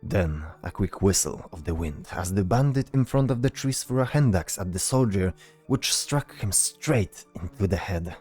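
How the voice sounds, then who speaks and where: A man narrating a scripted fantasy story as storyteller, delivering it deliberately in short phrases. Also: deep voice, expressive, darkish tone, breathy edge, faint music behind.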